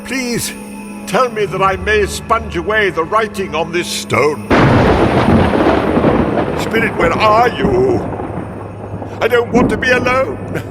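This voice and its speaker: Scared old miser in a radio play, begging, slow, voice swooping all over. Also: male voice, high-pitched, full character voice. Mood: desperate repentance. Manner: pleading, dramatic, halting. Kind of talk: theatrical radio-play acting. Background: music.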